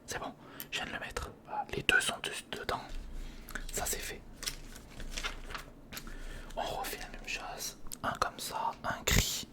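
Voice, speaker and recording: A voice that stays in a whisper throughout, breathy and without tone. It is an ASMR creator commenting casually on a build, muttering and thinking aloud in short phrases. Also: measured pace, pauses often.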